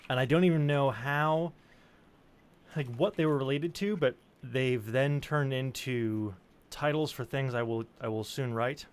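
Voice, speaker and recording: A man's voice: mid-range voice, clear, resonant tone. A middle-aged man chatting casually as the host of a streamed group show. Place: online stream call.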